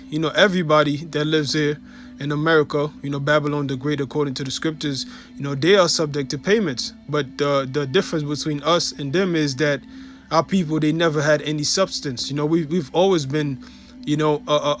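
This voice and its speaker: A man's voice: fairly high pitch, fairly bright tone. A man with a Haitian accent giving an informal religious teaching, peppered with "you know" and "you see". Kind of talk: informal preaching video.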